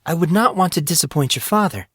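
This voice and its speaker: A man's voice: a little high, expressive, fast talker, a bit bright, dry recording, clean studio sound, voice pushed up. A man voicing a timid young maid who speaks formally and anxiously to her lord in an audiobook. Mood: anxious, deferential.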